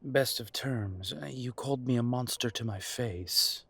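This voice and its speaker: A man's voice: mid-range voice, unhurried, vocal fry, fairly bright tone, husky, heavily acted. Audio drama estranged son male actor: young, medium register, wounded, confrontational, dryly sardonic delivery. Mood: bitter, sarcastic. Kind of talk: audio roleplay drama acting.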